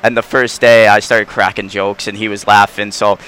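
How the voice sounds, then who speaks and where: Young man, Long Island accent, lowish smooth voice, casual rambling interview guest. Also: little pitch movement, normal speed, fairly bright tone, low-quality recording. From Long Island, New York. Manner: rambling, many restarts and fillers. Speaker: hockey player guest.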